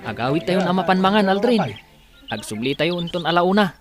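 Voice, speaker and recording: A man's voice: high voice, big pitch swings, fairly quick, music in the background. A man acting a hardworking farm co-worker in a radio drama, bossy but friendly, with an urging manner. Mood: brisk.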